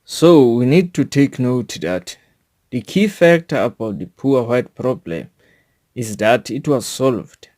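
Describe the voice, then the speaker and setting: Man, South African accent, slow pace, medium pitch, formal scripted delivery, deliberate phrasing. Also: animated, a little mellow. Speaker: podcast host. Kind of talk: scripted educational monologue, read out.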